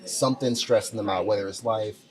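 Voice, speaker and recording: A man hosting a casual podcast, talking in an upbeat, animated way and laughing easily. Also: fairly low pitch, brisk, a crowd in the background. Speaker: podcast host. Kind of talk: casual conversation.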